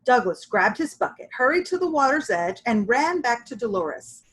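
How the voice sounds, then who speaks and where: A woman reading a children's story aloud in an animated, expressive way with drawn-out emphasis. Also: a little high, breathy edge, poor audio. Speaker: storybook reader.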